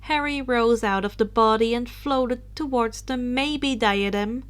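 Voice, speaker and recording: A woman's voice: on the high side, measured pace, clean tone. A woman reading a story aloud as a narrator, now and then stumbling over a word. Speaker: audiobook reader of fan fiction.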